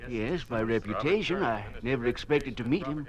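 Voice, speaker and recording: An actor playing a cautious, loyal American party official in an old radio drama, earnest, formal and a little hesitant. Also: male voice, medium pitch, animated, moderate pace, a crowd in the background, close to an everyday voice. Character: cautious, loyal veteran American communist leader, male judge. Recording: noisy vintage radio recording.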